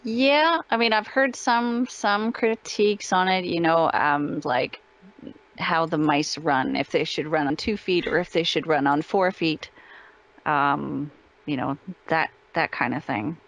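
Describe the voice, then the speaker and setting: A woman's voice: low voice, measured pace, fairly bright tone. A woman giving a casual interview as a guest, cheerful and informal in manner. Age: adult parent of a young child.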